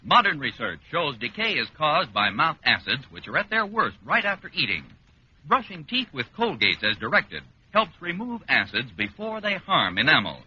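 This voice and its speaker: An American man reading a scripted mid-century radio commercial as an authoritative pitchman. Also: very animated, normal speed, bright, forceful tone, dull audio, slight background noise. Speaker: commercial announcer. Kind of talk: 1940s-50s scripted radio advertising. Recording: band-limited old radio recording.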